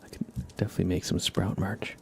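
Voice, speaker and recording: A man hosting an ASMR livestream, chatting gently and haltingly to viewers in a soft, whispery murmur. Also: low-pitched, steady tone, vocal fry, a little hiss or hum. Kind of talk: ASMR, casual chat with stream viewers.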